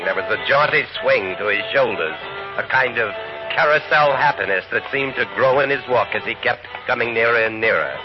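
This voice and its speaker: A man's voice: lowish voice, natural voice. An actor playing a plain-spoken man who narrates his own story, blunt in dialogue, in a 1950s radio drama. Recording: muffled old radio broadcast with music.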